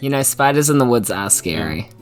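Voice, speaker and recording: Kiwi woman player, very deep voice, giggly joker. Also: expressive, a little fast, faint music behind. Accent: New Zealand. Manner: jokey, giggly banter. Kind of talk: casual chat at a tabletop game.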